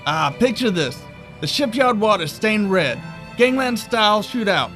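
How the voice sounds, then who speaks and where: Chirpy newsstand seller, high swoopy voice, theatrical and friendly, radio play w music. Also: male voice, sing-song, a little slow. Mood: showy, cheerful. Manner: theatrical, cheery. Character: chirpy, friendly male newspaper vendor with showman's patter. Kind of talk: audio drama acting.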